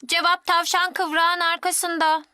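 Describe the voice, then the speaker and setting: A woman's voice: extremely high pitch, little pitch movement, unhurried, pushed and bright, exaggerated voice. A voice actress playing a curious, eager little boy twin in a cartoon dub. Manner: eager, wide-eyed. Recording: studio dub with music bed.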